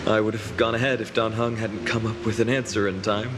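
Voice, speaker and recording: An actor playing an earnest young male hero in a game, sincere and reflective, with quiet self-doubt. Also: fairly low pitch, level tone, brisk, noisy background, lightly acted. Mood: pensive. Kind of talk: dramatic video game dialogue.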